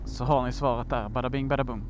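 A man's voice: mid-range voice, fairly even intonation. A man hosting a motorcycle vlog, chatting casually and jokily in a rambling way as he rides. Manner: rambling, jokey, with playful slang asides. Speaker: motorcycle vlogger and host. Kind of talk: casual chat while riding. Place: outdoors, riding a motorcycle on the road. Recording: loud wind and road traffic noise.

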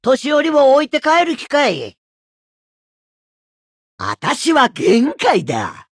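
A woman voicing a gruff, stern old female martial arts master in a video game, with a raspy voice and blunt, old-fashioned phrasing. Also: high-pitched, wildly swooping pitch, slow, no room sound, studio quality, exaggerated voice. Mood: wry, brusque. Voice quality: gravelly, raspy. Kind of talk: video game character voice lines.

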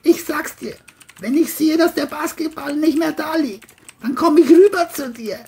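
Woman doing a mean bully guy for a crime audio drama, really high voice, sneering and menacing. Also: moderate pace, gravelly, darkish tone. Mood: menacing, insulting. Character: abusive, sneering drug-addicted man, mean bully.

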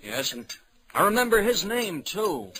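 A man's voice: high-pitched, sing-song, measured pace. A man acting an indignant, stubborn Midwestern everyman in 1940s radio drama, blustery and folksy. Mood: recounting. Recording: old radio recording, crowd noise behind.

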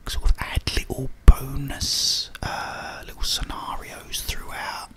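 Rambling whispered ASMR chat. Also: slow. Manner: rambling, friendly, many fillers. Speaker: ASMR YouTuber.

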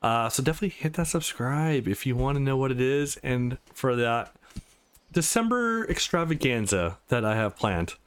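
A man's voice: mid-range voice, sing-song, normal speed, clean tone. A man hosting a let's play, chatting casually as he comments on a game. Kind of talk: casual game commentary, reading dialogue aloud.

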